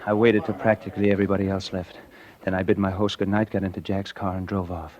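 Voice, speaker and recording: A man's voice: low voice, flat delivery, quick pace, muffled recording, a crowd in the background, lightly acted. An actor playing a cold, calculating murderer who narrates his own crime in a confiding, suspenseful 1940s radio thriller style. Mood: confiding, smug. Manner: confiding, suspenseful, hard-boiled. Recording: vintage radio recording with dramatic music.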